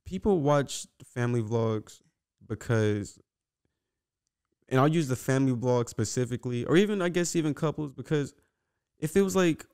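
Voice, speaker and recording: A man's voice: medium pitch, animated, normal speed, darkish tone, clean tone. A young man co-hosting a podcast in casual, slangy conversation, repeating himself as he makes his case, on a close microphone. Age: young adult. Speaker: podcast co-host. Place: small indoor podcast room. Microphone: close podcast microphone on boom arm.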